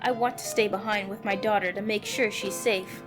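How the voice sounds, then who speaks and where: A woman's voice: medium pitch, steady tone, normal speed. Woman reading a story game over music. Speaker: player reading a visual novel aloud. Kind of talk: dramatic reading of narration and character dialogue. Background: background music.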